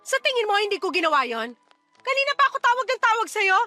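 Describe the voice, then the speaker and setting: A very high, piercing voice for a middle-aged mother in a radio drama. She is brash and scornful, scolding and dismissing people with a sharp tongue. The sound is close and dry. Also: big pitch swings, quick pace, no echo, soft background music, full character voice. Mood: angry. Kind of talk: Tagalog radio drama acting.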